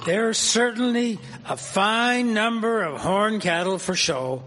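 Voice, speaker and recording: A man's voice: sits high, takes it slowly, music in the background. A man playing a folksy, appraising livestock farmer of the 1870s in a period radio drama. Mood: admiring. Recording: echoey hall, faint background noise.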